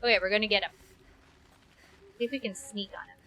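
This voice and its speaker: A woman's voice: medium pitch, steady tone, quick pace, bright, forceful tone, low-quality recording. A woman game streamer giving casual playthrough commentary, muttering reactions to herself as she plays.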